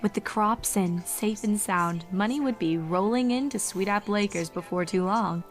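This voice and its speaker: A woman's voice: medium pitch, normal speed. A woman reading a scripted story as a dramatic narrator. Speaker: fan fiction narrator. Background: music under the reading.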